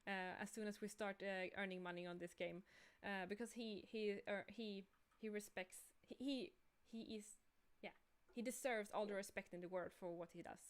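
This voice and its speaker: A woman with a medium-pitched voice hosts a casual livestream. She is informal and encouraging, prompting the others along. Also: steady tone, normal speed, few pauses. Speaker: host and game creator.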